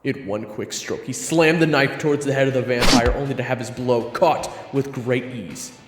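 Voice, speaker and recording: A man narrating a scripted second-person story in a hushed, suspenseful manner. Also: medium pitch, normal speed, echoey room, in a large hall, heavy background noise.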